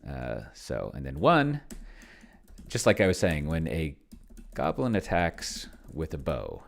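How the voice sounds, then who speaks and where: Deep-voiced man thinking aloud, casually rambling. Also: sing-song, takes it slowly, creaky. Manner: rambling, musing, trails off into mutters. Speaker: tabletop game player and video creator. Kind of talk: casual screen-share commentary, thinking aloud.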